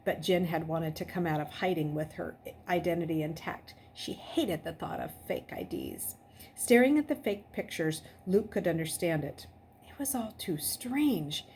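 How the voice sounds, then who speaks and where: A woman reading a novel aloud as an expressive storyteller. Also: lowish voice, moderate pace, slight background noise. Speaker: audiobook reader.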